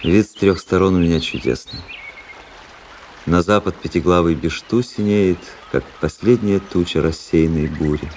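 An actor playing an observant, world-weary officer narrating his diary in a radio play, measured and lyrical. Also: deep voice, a little mellow, slight background noise. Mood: contemplative.

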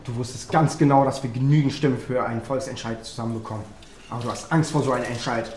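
A man's voice: mid-range voice, steady tone, fast, darkish tone, a little hiss or hum, natural voice. An actor playing an idealistic, defiant young man, a politician's activist son, in a radio play. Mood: accusing. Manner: earnest, defiant. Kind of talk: German radio play acting.

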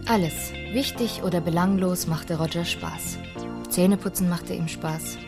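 A woman's voice: on the low side, unhurried, gravelly, music in the background. A woman giving scripted storybook narration in a radio play.

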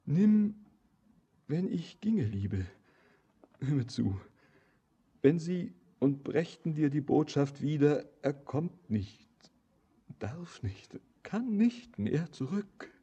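A doomed, fervent young wanderer in a poetic radio drama. His voice is dark and husky, and he speaks slowly, declaiming each phrase with lyrical solemnity. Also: on the high side, sing-song, heavily acted. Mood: urgent, anguished. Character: haunted young wandering stranger, fervent and doom-laden. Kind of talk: poetic German radio play acting.

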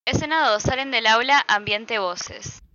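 A woman's voice: on the high side, unhurried, sharp tone, no echo. A woman reading out scene directions as scripted narration, on a slightly lo-fi recording.

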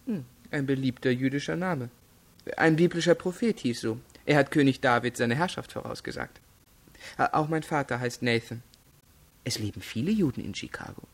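A young murder suspect in a radio crime drama. His voice is rough-edged and husky. He is defiant and slippery, answering curtly and turning defensive. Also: mid-range voice, animated, normal speed. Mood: evasive, dismissive. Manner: evasive, defensive, curt. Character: defiant young male murder suspect, wealthy student, evasive.

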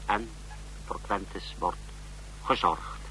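A man's voice: low voice, takes it slowly, bright, forceful tone, slight background noise, full character voice, rough recording. An actor playing a deferential, scheming henchman to a totalitarian leader, formal and assured, in an old radio drama style. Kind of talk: mid-century Dutch radio drama acting.

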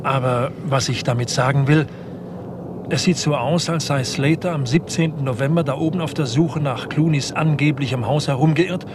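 A man's voice: fairly high pitch, normal speed, close to an everyday voice. An actor playing an eager, deferential yet grumbling detective's assistant in a 1960s German radio crime drama, often hesitating as he thinks aloud. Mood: curious. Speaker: detective's subordinate. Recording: noisy old radio play recording.

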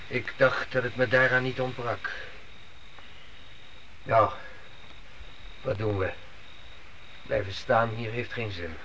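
A stern, bright-voiced patriarch. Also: male voice, medium pitch, fairly even intonation, takes it slowly, pauses often. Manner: commanding, solemn. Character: stern, devout father leading his family through the desert. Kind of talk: Dutch radio play acting. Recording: noisy old radio drama recording.

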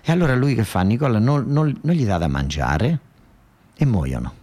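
A man with a southern Italian accent performs a theatrical, colloquial storytelling monologue, emphatic and halting, often repeating his words. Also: on the low side, big pitch swings, a little mellow.

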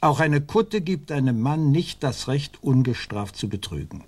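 Husky, stern colonial judge. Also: male voice, on the high side, animated, a little slow, darkish tone, heavily acted. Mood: stern, cold. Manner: formal, pronouncing judgment. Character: stern, unjust colonial judge, dismissive and self-righteous. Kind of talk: radio play acting.

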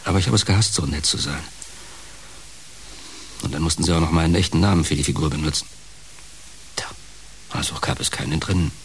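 An actor in a German radio play, playing a bitter, heartbroken fallen entertainer with a gravelly voice and a halting, dramatic delivery. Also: male voice, rumbling low. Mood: resentful. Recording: clear background hiss.

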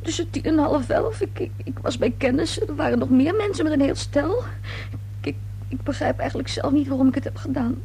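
An actress playing an anxious, guilt-ridden young daughter in a radio play, stammering and pleading defensively. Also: female voice, high voice, animated, brisk, gravelly, dark tone. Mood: embarrassed, hesitant. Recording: noisy old radio play with hum.

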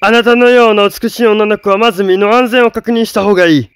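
A sly bandit chief in disguise, as a man plays him in a storybook tale, falsely friendly and smooth-talking. His voice is raised, crisp and resonant, and sounds dry and close. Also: high-pitched, steady tone, fast, dry recording, natural voice. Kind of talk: storybook voice acting.

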